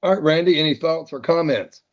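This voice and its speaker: A man's voice: fairly high pitch, a little fast. An American man casually moderating a radio net as host, passing questions between participants. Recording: dull lo-fi radio net audio.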